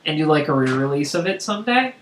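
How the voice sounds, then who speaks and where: A woman with a big voice chatting casually and excitably as she hosts a video game stream. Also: low voice, fast talker. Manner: loud, excitable. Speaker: streamer and host of a co-op gaming session. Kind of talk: casual chat while playing a video game. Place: indoor room, live game stream. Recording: echoey room with background noise.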